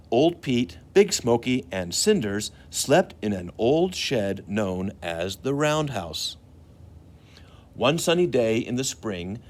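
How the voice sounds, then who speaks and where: An American man, a librarian hosting a children's storytime, who talks to young listeners and puts questions to them. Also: lowish voice. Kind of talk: children's storytime with songs and read-aloud.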